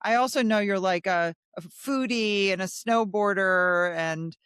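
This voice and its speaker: A woman hosts a podcast interview in a chatty, enthusiastic way, often restarting her sentences. Her voice sits at a middle pitch for a woman. The recording is dry and close, with no room sound. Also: unhurried, fairly bright tone, clean tone, no room sound. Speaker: podcast host. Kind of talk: interview, casual chat.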